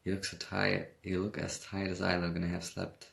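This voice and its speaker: A man's voice: sits low, monotone, brisk, creaky, a bit bright. A tired young man with a German accent, chatting casually to viewers on a livestream, rambling and correcting himself and laughing often. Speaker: livestream host. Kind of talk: casual livestream chat reading comments. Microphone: phone.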